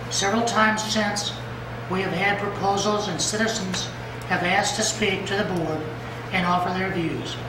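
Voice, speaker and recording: Hoarse man, stern formal public statement, distant echoey chamber mic with noise. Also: high voice. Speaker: mayor chairing a city board meeting. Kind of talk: reading a prepared statement at a public meeting. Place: council chamber.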